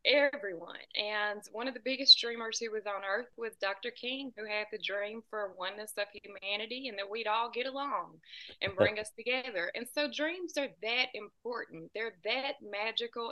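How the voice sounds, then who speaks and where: A woman's voice: on the high side, lo-fi sound. A woman with a Southern US accent, a singer-songwriter telling her story in an interview in an earnest, storytelling way. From Southern US, about two hours south of Nashville. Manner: warm storytelling, earnest and inspirational. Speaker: guest, singer-songwriter and children's author. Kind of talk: interview answers.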